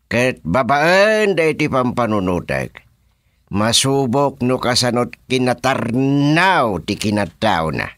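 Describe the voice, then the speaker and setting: An actor playing a boastful king in a comic Ilocano radio drama, declaiming deliberately with an Ilocano accent. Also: mid-range voice, big pitch swings, a bit bright, no room sound, studio quality, exaggerated voice. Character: boastful fairy-tale king, father of a princess.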